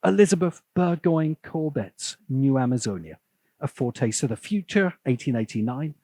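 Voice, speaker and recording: A man narrating a scripted video essay in a sardonic manner, with dramatic pauses. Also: medium pitch, lively intonation, warm tone, no echo.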